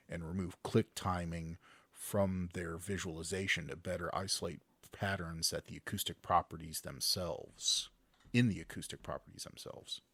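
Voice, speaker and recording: A man's voice: sits low, husky, no echo. A man co-hosting a casual podcast, reading news stories aloud and joking around. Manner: jokey, breaks into loud silly voices.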